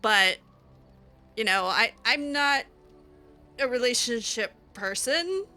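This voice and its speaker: A woman roleplays aloud over a video game in a bright voice. She speaks slowly and hesitantly, often trailing off, with music playing behind her. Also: fairly high pitch, expressive. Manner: hesitant, conversational, frequent trailing off.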